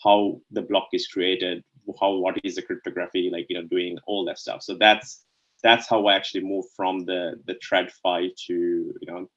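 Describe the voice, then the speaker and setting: A low-pitched man from Sri Lanka speaks as an interview guest, circling his points with "like, you know" and tagging them with "right?". The sound is a little muffled and lo-fi. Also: level tone, moderate pace, fairly bright tone. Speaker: guest, DeFi co-founder. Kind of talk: casual interview answers.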